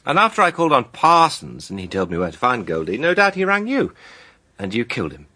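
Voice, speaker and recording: An actor playing a self-assured, righteous accuser in a radio mystery drama, with emphatic, deliberate phrasing. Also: male voice, medium pitch, huge pitch swings, sharp tone, full character voice. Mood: pressing, stern. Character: righteous, self-assured male accuser laying out a murder case.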